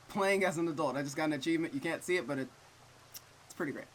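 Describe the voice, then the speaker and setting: A man hosting a visual novel playthrough, chatting casually with animated, wry reactions to what he reads. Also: a little high, quick pace, a little hiss or hum, rough recording. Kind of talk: casual chatty let's play commentary, reading game text aloud. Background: game music, looping rain.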